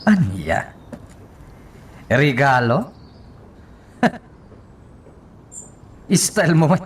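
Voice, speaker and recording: A man's voice: medium pitch, big pitch swings, very slow, husky, heavily acted. A man acting a domineering, threatening male character in a radio drama. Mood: mocking, threatening. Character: domineering, threatening man mocking a pleading woman. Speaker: actor.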